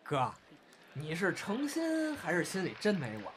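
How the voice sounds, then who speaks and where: Man playing a lively, cheeky young crosstalk comedian. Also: sits high, a little slow, heavily acted. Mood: pouting. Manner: theatrical, teasing, playful. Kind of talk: crosstalk comedy dialogue in audio drama. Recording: loud background noise.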